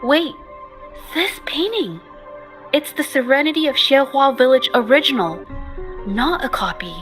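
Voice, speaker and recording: A woman voicing a shy, gentle young painter in a video game, speaking softly and hesitantly, often trailing off. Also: sits high, lively intonation, a little slow, soft background music. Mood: puzzled. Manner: soft-spoken, hesitant, trailing off.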